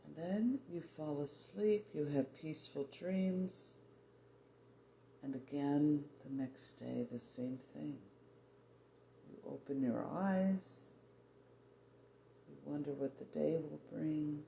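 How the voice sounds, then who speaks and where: Guided meditation voice, androgynous: soft-edged and mellow, measured and soothing, roomy echo with faint noise. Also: medium pitch, creaky. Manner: soothing, measured, with long pauses between short phrases. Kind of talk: guided meditation, spiritual affirmations.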